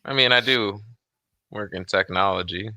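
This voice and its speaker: A man's voice: on the low side, moderate pace, bright, forceful tone, no echo. A man in casual chat, talking in blunt, sweary banter. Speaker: software developer, show guest.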